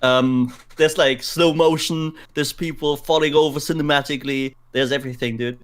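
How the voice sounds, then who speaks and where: A man's voice: fairly high pitch, steady tone, moderate pace, clear, resonant tone. A man co-hosting a gaming podcast, speaking very informally and swearing freely. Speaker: podcast co-host. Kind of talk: casual gaming podcast chat.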